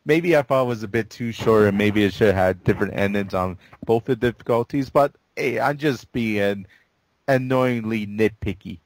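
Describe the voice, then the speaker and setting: A man's voice: fairly low pitch, moderate pace, dry recording, poor audio. A man co-hosting a casual game review chat, enthusiastic with self-deprecating asides. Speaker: co-host of a game review show. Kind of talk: casual gaming discussion.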